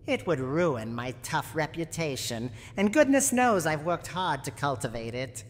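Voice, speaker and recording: A woman voicing a gruff, teasing old granny with a secretly tender side, in a theatrical roleplay ASMR monologue. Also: deep voice, sing-song, unhurried, heavily acted. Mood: gruffly confiding. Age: older. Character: gruff, teasing tsundere granny guarding her haunted house. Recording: long reverb, clear background noise.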